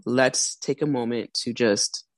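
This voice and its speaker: A woman hosting a spiritual podcast in casual solo chat. She is a little hoarse, and she laughs often and breaks off her own sentences. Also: rumbling low, unhurried, dry recording. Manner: chatty, laughs often, self-interrupting. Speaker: podcast host.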